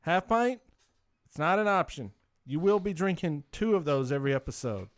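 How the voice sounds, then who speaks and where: A man co-hosting a podcast in loud, casual banter, with a pushy, badgering way of talking. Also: on the high side, expressive, normal speed, no room sound. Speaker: podcast co-host making prank cold calls.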